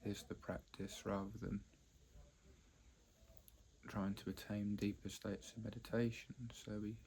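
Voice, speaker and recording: A man teaching a guided meditation, speaking haltingly in short phrases with long pauses between them. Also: lowish voice, little pitch movement, darkish tone. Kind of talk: guided meditation, unscripted explanation.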